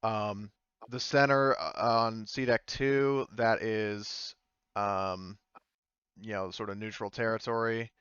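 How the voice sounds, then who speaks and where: A man working as game master, narrating a tabletop game casually and haltingly as he thinks aloud. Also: fairly low pitch, steady tone, a bit bright, clear, resonant tone, no echo, muffled recording.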